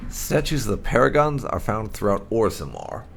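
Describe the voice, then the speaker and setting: Low-voiced man reading fantasy lore expressively. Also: big pitch swings, moderate pace, gravelly, faint music behind. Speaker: gaming channel host reading game lore aloud.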